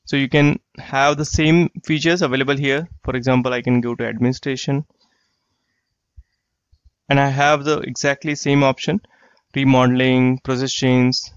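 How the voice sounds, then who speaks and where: A man walking through a software tool in a screen-share tutorial, explaining plainly and repeating himself. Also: medium pitch, little pitch movement, normal speed, clear, resonant tone, no echo. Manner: plain explanatory, repeats phrases like "you get the idea". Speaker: software trainer. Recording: dry, slightly dull lo-fi voice track.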